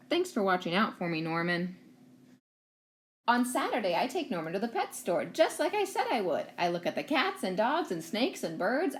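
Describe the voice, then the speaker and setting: Woman, expressive read-aloud of a picture book for young children, teacher delivery. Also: medium pitch. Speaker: teacher reading a storybook aloud.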